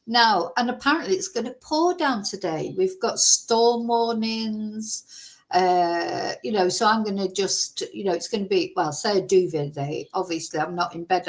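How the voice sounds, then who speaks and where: A woman from Manchester with a softened Mancunian accent, hosting a casual true-crime livestream, chatty and rambling, greeting viewers by name. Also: medium pitch, poor audio. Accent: softened Mancunian English. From Manchester, England.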